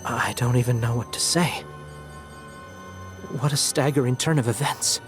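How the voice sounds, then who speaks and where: A man's voice: medium pitch, normal speed, over music, lightly acted. An actor playing a thoughtful, reflective male ally in a video game cutscene, polite and articulate.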